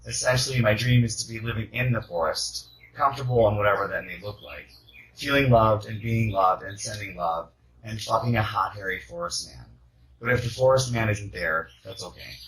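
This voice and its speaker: A man's voice: on the low side, fairly bright tone, low-quality recording. A man leaving a casual voice message, talking in a rambling, dreamy and candid way.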